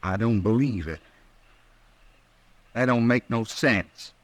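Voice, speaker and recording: An actor playing a distraught outlaw in a 1950s American radio western, with a halting, rustic drawl. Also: male voice, medium pitch, no room sound, lightly acted. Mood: confused, agitated. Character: desperate, distraught Western outlaw gunman in love.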